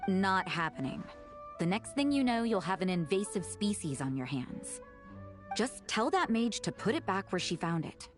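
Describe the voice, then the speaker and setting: A woman voicing a dry, unimpressed adult woman in a video game, with a curt, deadpan manner. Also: mid-range voice, normal speed, music in the background, close to an everyday voice. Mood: dismissive. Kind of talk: scripted video game dialogue.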